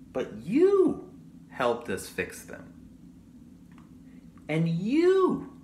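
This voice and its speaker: A man voicing a tender, reassuring mother in a storybook, speaking gently and deliberately. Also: medium pitch, heavily acted. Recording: roomy echo, audible background noise.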